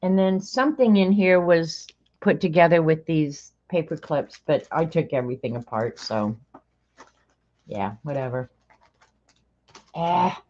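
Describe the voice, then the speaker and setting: Slow, low-voiced woman in her sixties. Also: a little mellow. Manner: chatty, thinks aloud, laughs often. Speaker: streamer opening mail on stream. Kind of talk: casual livestream chat. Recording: slightly dull lo-fi stream audio.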